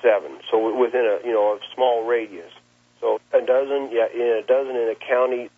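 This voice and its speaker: A man, a plain-spoken cattle rancher giving a halting account in an interview over the telephone. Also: mid-range voice, fairly even intonation, husky. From Nebraska, United States. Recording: muffled, lo-fi phone interview line.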